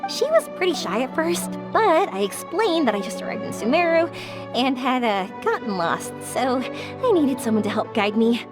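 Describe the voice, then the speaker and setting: A woman playing a cheerful, chatty, warm-hearted young traveler in a video game, bubbly and encouraging. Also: high voice. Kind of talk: scripted video game story dialogue. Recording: studio voice over background music.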